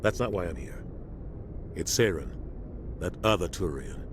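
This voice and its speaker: An actor playing a seasoned, loyal military starship captain in a video game, grave and measured with authority. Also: male voice, sits low, expressive. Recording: clear engine-like ship noise behind.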